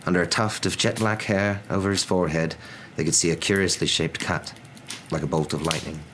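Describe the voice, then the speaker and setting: Young male storybook narrator, ASMR style, very low register, faint noise behind. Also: fairly even intonation, a little fast, a bit bright. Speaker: narrator reading a novel aloud. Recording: noticeable background noise.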